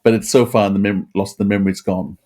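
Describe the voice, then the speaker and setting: A man's voice: on the low side, fairly even intonation, fast, dark tone. A man presenting informative commentary on a podcast.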